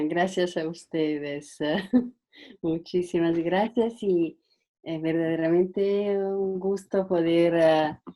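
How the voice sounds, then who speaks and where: Italian-native woman guest speaker, slow halting delivery, mellow tone, video-call sound. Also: on the low side. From Italy. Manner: hesitant, halting with frequent fillers and restarts. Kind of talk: online talk.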